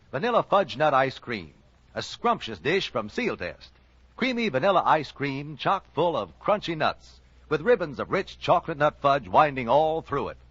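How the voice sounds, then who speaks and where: A man's voice: a little high, fairly bright tone, dry recording. An American man reading scripted sponsor copy as an announcer on a 1940s radio broadcast. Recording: dull vintage 1940s radio recording, faint music.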